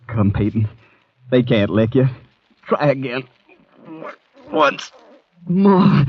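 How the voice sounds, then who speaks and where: An actor playing a desperate condemned man in an old radio drama, raspy and strained as he urges himself on. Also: medium pitch, dry recording. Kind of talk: 1940s-50s radio acting. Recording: dull vintage radio recording.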